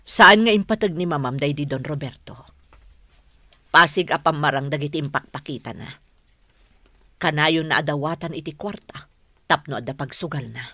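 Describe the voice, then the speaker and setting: An actress playing a loyal older household maid who confides gravely and earnestly in a radio drama. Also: female voice, deep voice, normal speed, pauses often, pushed and bright, no echo, exaggerated voice. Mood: grave, disapproving. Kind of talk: Ilocano radio drama acting.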